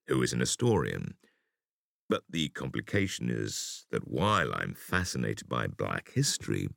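Really deep voiced guy reading audiobook, measured n emphatic, swoops around, super dry sound. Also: gravelly, no room sound. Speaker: audiobook reader. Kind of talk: audiobook reading of an interview essay.

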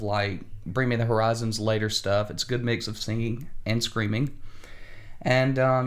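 A man hosting a podcast, chatting casually and laughing easily. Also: medium pitch. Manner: laughs often, easygoing. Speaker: podcast host. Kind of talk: casual chat.

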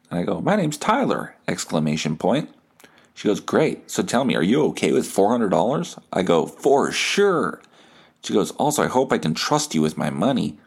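A man with a fairly low voice hosts a casual comedy podcast. He tells his story in a self-deprecating, sweary way, acting out both sides of text conversations as he goes. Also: vocal fry, darkish tone, breathy edge. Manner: foul-mouthed, self-deprecating. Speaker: podcast host.

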